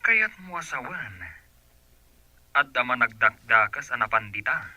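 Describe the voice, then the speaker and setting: A man's voice: mid-range voice, expressive, unhurried, bright, forceful tone, no room sound, exaggerated voice. An actor playing a suspicious, mocking man in a radio drama. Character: suspicious, mocking man grilling the household help.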